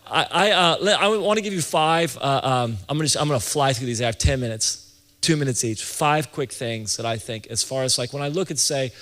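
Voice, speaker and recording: A man's voice: medium pitch, fairly bright tone. Man teaching in a reverberant hall. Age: late 30s to early 40s. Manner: conversational, halting, often restarts and repeats phrases. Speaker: ministry leader and church planting teacher. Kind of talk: informal sermon-style teaching talk to an audience.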